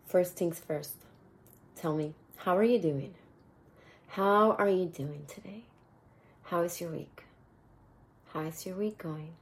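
A woman with a raspy voice hosting a motivational podcast, talking casually and mentoring her listeners, often repeating phrases. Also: fairly low pitch, slow pace, long pauses. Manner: warm mentoring tone, repeats phrases, bursts of laughter. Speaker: podcast host.

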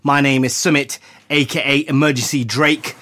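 Male podcast co-host, mid-pitched, dry deadpan ribbing. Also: normal speed, background noise. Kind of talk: casual chat, reads ad.

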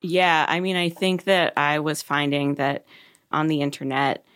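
A middle-aged woman with a deep voice, talking thoughtfully in a conversational interview and laughing easily. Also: fairly even intonation, measured pace, a bit bright. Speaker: podcast host, guest.

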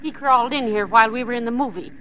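A woman's voice: on the high side, fast, close to an everyday voice. A woman playing an anxious, scolding wife held hostage in an old-time radio drama, with an American accent. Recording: dull, noisy vintage radio recording.

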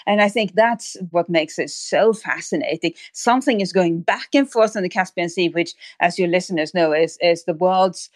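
A woman's voice: fairly low pitch, moderate pace, few pauses, no room sound, studio quality. A woman speaking as an expert guest in an interview, analysing at length and often repeating words as she thinks aloud. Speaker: expert guest on maritime affairs.

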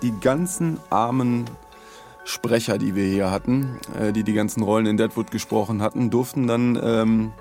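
Playful man with a lowish voice, co-hosting. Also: normal speed, darkish tone, soft background music. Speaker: podcast co-host. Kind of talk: casual chat. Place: recording studio.

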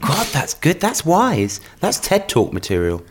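A man's voice: on the high side, huge pitch swings, unhurried. A man co-hosting a podcast in casual chat, giggly and playful with frequent laughs. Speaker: podcast co-host.

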